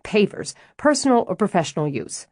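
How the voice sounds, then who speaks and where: A woman's voice: mid-range voice, sing-song, a little fast, darkish tone, no echo, clean studio sound. A woman voicing an easygoing, flirtatious man. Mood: teasing. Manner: drawling, teasing. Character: easygoing flirtatious southern man, teasing landscaper.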